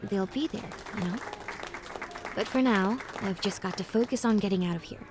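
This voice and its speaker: A woman playing a thoughtful, wistful young student leaving home, narrating a game's story in a gentle, reflective storybook style. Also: medium pitch, moderate pace, a crowd in the background, background noise, lightly acted. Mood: hopeful, resolute. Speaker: narrator and protagonist of a puzzle adventure game. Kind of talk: scripted first-person storybook narration. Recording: music behind the voice. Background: background music.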